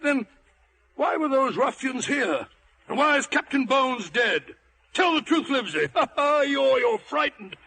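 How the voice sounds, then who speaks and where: An actor playing an excitable, boastful country squire in theatrical adventure drama, pompous and given to repeating his exclamations. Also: very high voice, a little slow, gravelly, slight background noise, full character voice. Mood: blustering.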